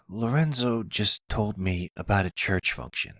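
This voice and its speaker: A man acting out a charming mobster boyfriend for an ASMR audio roleplay. The voice is low and a little husky, unhurried and formal, with suave self-assurance. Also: fairly bright tone, no room sound, exaggerated voice. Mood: wary, dry.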